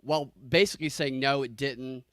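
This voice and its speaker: Nasally man, blunt sarcastic commentary, swearing, dry close recording, mid pitch. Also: fairly quick, fairly bright tone, dry recording. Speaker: podcast host. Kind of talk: casual reaction chat.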